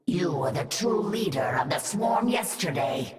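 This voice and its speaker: An actress playing a loyal alien adviser creature, devoted and reassuring. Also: female voice, fairly low pitch, expressive, measured pace, a little mellow, faint music behind. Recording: distant, echoey.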